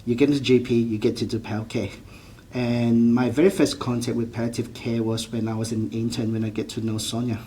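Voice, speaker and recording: A man's voice: medium pitch, little pitch movement, a little fast, dark tone, slight background noise. A man in his forties from Malaysia with a Malaysian accent, a GP answering interview questions earnestly, often restarting his sentences. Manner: earnest, with frequent restarts and non-standard grammar. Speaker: general practitioner.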